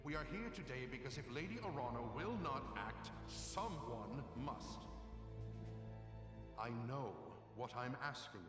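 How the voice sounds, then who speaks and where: An actor playing an aging, proud noble uncle giving a solemn, theatrical rallying speech in a video game. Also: male voice, mid-range voice, lively intonation, unhurried, lightly acted. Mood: resolute. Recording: echoing hall with loud music behind.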